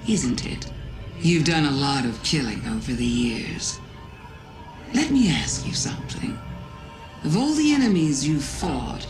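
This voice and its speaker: A sly alien witch-god from a video game, taunting and insinuating. She draws out her words slowly with theatrical pauses. Her voice echoes heavily, with music playing clearly behind it. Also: very deep voice, heavily acted. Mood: sly, probing. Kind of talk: dramatic video game monologue. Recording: echoing voice under prominent music.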